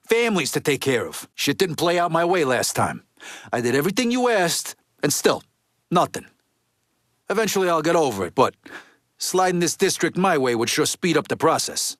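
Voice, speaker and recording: An actor playing a gruff, impatient middle-aged American mob underboss in a video game, with blunt, profane, streetwise talk. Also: male voice, on the high side, fairly bright tone, no echo, studio quality. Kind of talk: video game dialogue acting.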